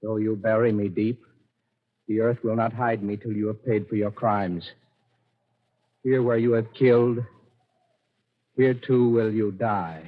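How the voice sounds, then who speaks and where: A stoic, low, husky doomed captive. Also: male voice, fairly even intonation. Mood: solemn curse. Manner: measured, solemn, fearless. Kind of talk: 1940s radio acting. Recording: dull old radio recording.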